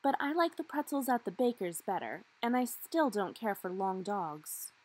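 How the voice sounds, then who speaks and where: A woman's voice: medium pitch, very animated, moderate pace, husky. A woman voicing an aloof, hard-to-please little female dachshund in a children's storybook, drawing out her words. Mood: dismissive.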